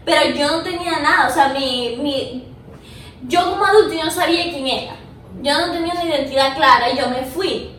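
Venezuelan young woman, high and echoey. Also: fairly quick, a bit bright. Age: young adult. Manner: slangy, emotional, keeps asking "me entiendes". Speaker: guest filmmaker. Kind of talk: casual podcast chat. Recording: echoey room, far from mic, faint noise.

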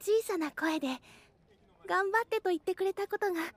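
A woman playing a devoted, protective schoolgirl with shy affection and gentle, reassuring phrasing in a voice drama. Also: very high-pitched, normal speed, no room sound. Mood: tender, confessing. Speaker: voice actor. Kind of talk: Japanese anime-like voice drama acting.

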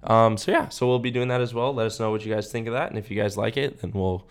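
A young American man with a fairly low voice talks casually as a podcast co-host. His manner is slangy, laid-back and keen, like a relaxed chat between buddies. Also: fast talker, clean tone.

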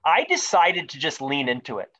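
A man's voice: on the high side, huge pitch swings, moderate pace, bright, forceful tone. A man in his fifties, a leadership author, talking as an interview guest with emphatic, carefully enunciated speech that stacks up lists of questions and points. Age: 50s. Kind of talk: animated interview answers.

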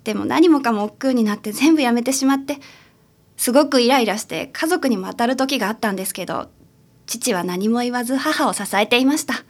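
Woman acting a troubled, sincere daughter, high voice. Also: fairly quick. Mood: earnest confiding. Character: troubled, sincere adult daughter seeking a detective's help. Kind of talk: radio drama acting.

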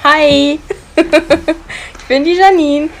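Woman in her twenties, from near Munich, relaxed giggly co-host, video call, slow unhurried talk, fairly high voice. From Munich area, Germany. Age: 20s. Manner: relaxed, giggly, adds short remarks. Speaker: podcast co-host. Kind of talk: casual chat.

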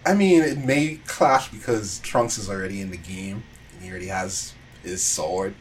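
A man's voice: low-pitched, normal speed, slight background noise. A man in a casual podcast chat about games, thinking aloud hesitantly as he talks. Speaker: podcast panelist.

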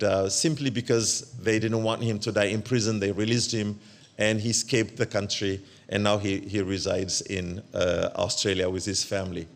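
A man from Ethiopia, preaching as a guest in church, halting and full of fillers and restarts. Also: lowish voice. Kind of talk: church sermon.

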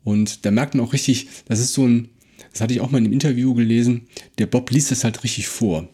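Mellow, slightly husky man. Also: lowish voice. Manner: conversational, explanatory. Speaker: podcast co-host. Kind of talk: casual episode discussion and retelling.

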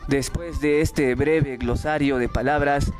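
A man's voice: medium pitch, fairly even intonation, slow pace. A man with a Peruvian accent presenting a radio show from a script as its host. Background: music bed.

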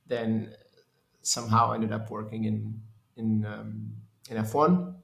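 A man's voice: fairly low pitch, steady tone, slow pace, gravelly, a bit bright, roomy sound. A man from Portugal in his thirties, a race engineer answering interview questions in a hesitant way with many restarts. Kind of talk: conversational interview.

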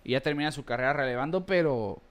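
A man co-hosting a casual baseball podcast, reading listener comments with quick asides. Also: medium pitch. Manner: chatty, reads comments aloud with quick asides and laughs.

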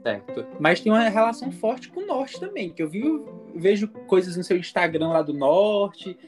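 A woman's voice: mid-range voice, sing-song, moderate pace, a little mellow, faint music behind. A woman hosting a casual podcast interview, upbeat and exclamatory as she asks her guest friendly questions. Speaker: podcast host.

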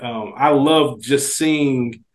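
A man's voice: mid-range voice, extremely slow. An American man co-hosting a casual TV recap podcast, talking in slang and repeating phrases for emphasis. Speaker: podcast co-host.

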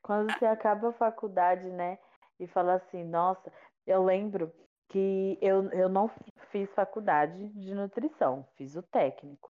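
A woman's voice: medium pitch, measured pace. A woman in her late twenties co-hosting a podcast, chatting casually in a friendly, teasing and reassuring way. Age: late 20s. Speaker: podcast co-host. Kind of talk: casual chat. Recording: dull, lo-fi sound.

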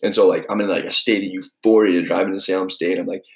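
Low-voiced young man rambling on his podcast. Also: fairly even intonation, quick pace, creaky. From New England. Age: young adult. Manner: rambling, heavy use of filler words. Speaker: podcast host. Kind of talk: casual solo storytelling.

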